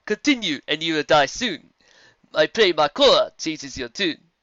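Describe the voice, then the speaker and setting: A man voicing a mysterious female zebra bard who sings her warnings in slow, drawn-out rhyme, on a dull lo-fi recording. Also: fairly high pitch, very animated, bright, forceful tone, no room sound, heavily acted. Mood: ominous singing. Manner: sung, rhyming and slow.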